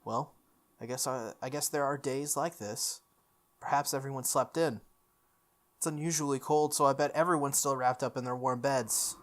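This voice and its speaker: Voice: mid-range voice, fairly even intonation, moderate pace. A video game playthrough host reading a visual novel aloud, breaking off into informal, exclamatory asides. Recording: music under the voice. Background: background music.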